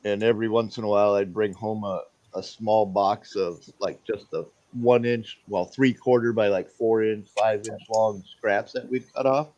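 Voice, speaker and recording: A man's voice: lowish voice, fairly even intonation, normal speed, few pauses, a little mellow, no room sound. A man chatting casually, telling easygoing stories with dry jokes. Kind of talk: casual chat. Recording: dull lo-fi audio with faint hiss.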